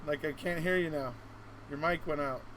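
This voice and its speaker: A man's voice: a little high, normal speed, background noise. A man hosting a live stream in a casual, chatty style.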